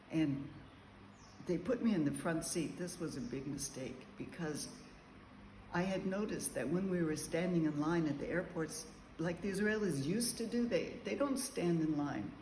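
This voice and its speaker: A man's voice: high voice, darkish tone, a little hiss or hum. Chatty man in an echoey room. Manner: meandering, chatty, frequent ums and pauses. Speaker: travel vlogger. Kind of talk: casual travel diary to camera. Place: large room with high ceilings, indoors. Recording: echoey room, faint background ambience.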